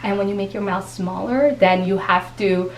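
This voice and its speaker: A woman's voice: mid-range voice, moderate pace. A woman with a Japanese accent whose first language is Japanese, explaining speech technique as a guest coach in a conversational interview. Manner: explanatory, teaching tone with frequent restarts. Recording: echoey room.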